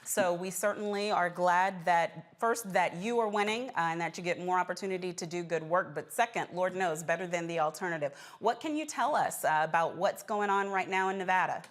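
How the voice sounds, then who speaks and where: A woman with an American accent hosts a news interview. Her voice sits fairly low as she frames questions for her guests. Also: a little fast, hardly any pauses. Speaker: host, interviewer.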